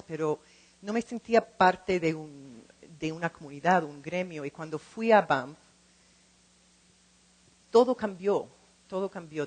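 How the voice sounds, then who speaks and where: A middle-aged woman speaking in a second language as she gives an informal talk, halting and repeating words as she searches for the next one. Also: fairly low pitch, poor audio. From lives in California. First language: not Spanish, speaks it as a second language. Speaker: translator and program co-director.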